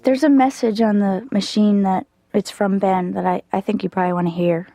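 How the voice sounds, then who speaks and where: A bored cartoon receptionist, voiced by a woman, answers at a middle pitch. She keeps her replies short and flat. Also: fairly quick, dry recording, lightly acted. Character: bored young female receptionist.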